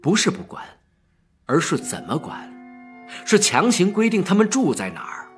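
A man playing a composed, liberal-minded professor and department head in an audio story, reasoning in a measured, authoritative way. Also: high voice, huge pitch swings, faint music behind, voice pushed up. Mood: calmly arguing.

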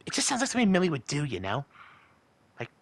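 A man's voice: on the high side, wildly swooping pitch, quick pace, no echo, full character voice. A man voicing a nervous, lovestruck cartoon imp husband who rambles in an anxious, hesitant way. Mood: sheepish. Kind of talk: in-character fan monologue in cartoon style.

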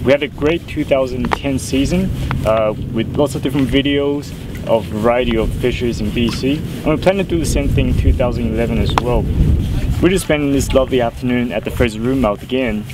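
A man's voice: mid-range voice, a little fast. A man hosting a fishing vlog, talking to camera on a windy riverbank. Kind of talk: casual outdoor talk to camera. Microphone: camera mic at mid distance. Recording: distant mic with wind noise.

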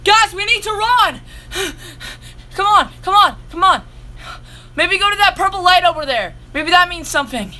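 Woman as a high-voiced, anxious young hero. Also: lively intonation, moderate pace, sharp tone, full character voice. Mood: urgent. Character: young hero fleeing zombies, anxious and urgent. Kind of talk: amateur Minecraft roleplay acting.

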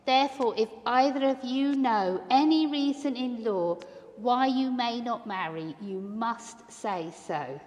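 Slow, clear woman vicar in a reverberant church. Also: a little high. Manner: warm welcoming, leading vows phrase by phrase. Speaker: vicar officiating a wedding. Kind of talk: wedding liturgy, slow and measured. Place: large stone church.

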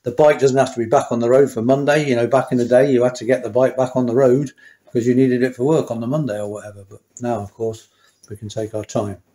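A man's voice: mid-range voice, fairly even intonation, fast, dark tone. A mature man with a mid-range voice talks through a hands-on repair job. He is chatty and self-deprecating, dropping wry asides as he works at his bench. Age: middle-aged or older. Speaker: motorcycle mechanic and host. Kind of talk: casual hands-on workshop tutorial. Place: small indoor workshop at a workbench.

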